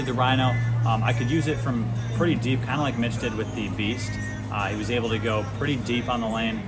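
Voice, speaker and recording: A young man in his twenties, a bowling channel host, talking casually to camera with a relaxed, chatty manner in a big indoor bowling center. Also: on the low side. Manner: relaxed, chatty, lots of fillers. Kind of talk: casual gear talk to camera.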